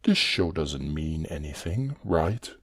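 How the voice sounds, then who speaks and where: A menacing villain uncle in an audio drama, with an extremely low, hoarse rasp. The delivery is slow, clipped and dismissive, cold and controlling. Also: very animated, fairly bright tone, full character voice. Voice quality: hoarse, raspy.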